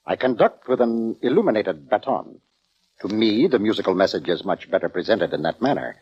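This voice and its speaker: An actor playing a pompous, self-important orchestra conductor in 1940s radio drama style, formal, theatrical and easily affronted. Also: male voice, fairly low pitch, animated, brisk, darkish tone, breathy edge. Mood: proud, lofty. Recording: dull vintage radio recording.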